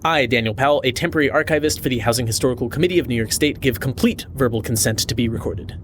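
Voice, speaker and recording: A man's voice: medium pitch, fast talker, lightly acted. A man acting a deferential, nervous young archivist, hesitant and agreeable, in a naturalistic audio drama. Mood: eager, apologetic. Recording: found-tape sound with faint room noise.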